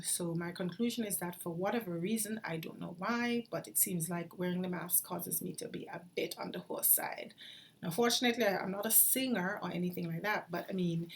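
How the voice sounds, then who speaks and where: A woman physician speaks in a somewhat low voice, casual and conversational. She reasons through her points aloud with an earnest, puzzled air, checking in with the listener through "right?" and "you know". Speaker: infectious diseases physician. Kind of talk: casual spoken commentary to camera.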